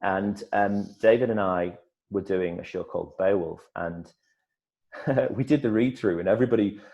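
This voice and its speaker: A middle-aged man, an actor, telling stories in a casual interview, lively and full of restarts. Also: fairly low pitch, moderate pace, highs cut off. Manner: animated storyteller, many restarts and repeated words. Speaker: actor guest.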